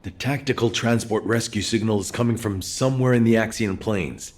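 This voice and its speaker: An actor playing a serious, weary executive officer in a video game, speaking with terse authority. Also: male voice, fairly low pitch, a little fast, natural voice. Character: grave, weary military executive officer under pressure. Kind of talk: video game dramatic acting.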